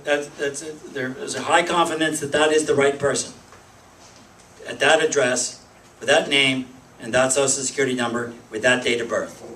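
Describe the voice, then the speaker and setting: A man's voice: medium pitch, a bit bright, recorded from a distance. Man speaking in an echoey room. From Pennsylvania, United States. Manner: restarts and hesitant fillers, emphatic lists. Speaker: speaker at a group meeting. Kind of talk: informal public talk.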